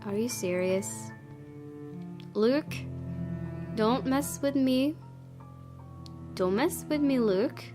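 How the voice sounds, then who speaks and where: A woman's voice: a little high, lively intonation, very slow, pauses often. A woman hosting a let's play, commenting casually in a chatty, giggly, rambling way. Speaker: gaming video host. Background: soft game music.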